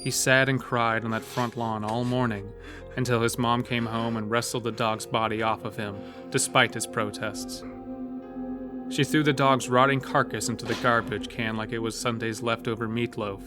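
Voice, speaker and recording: A man's voice: mid-range voice, flat delivery, normal speed. A man narrating a scripted horror story as the storyteller of an audio drama. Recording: music bed under the voice. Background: loud music.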